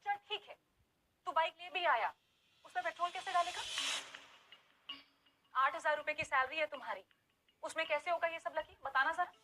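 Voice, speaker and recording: A woman's voice: extremely high pitch, normal speed, pauses often, background noise. An actress playing a scornful, materialistic young woman in a dramatized audio story. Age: young adult. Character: scornful, materialistic young woman dumping her poor boyfriend.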